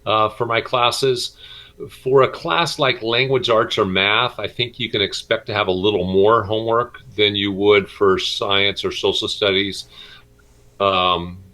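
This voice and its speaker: A middle-aged man, a schoolteacher, answering interview questions informally in a halting, hesitant way with many pauses. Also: lowish voice, fairly even intonation, pushed and bright. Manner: halting, hesitant delivery with frequent pauses and repeats. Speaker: middle school math and science teacher.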